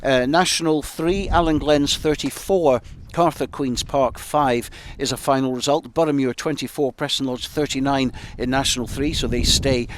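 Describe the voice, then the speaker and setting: A man's voice: mid-range voice, steady tone, moderate pace, few pauses. A man presenting live sport on radio, reading out a round-up of results. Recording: clear background noise, outdoor ambience.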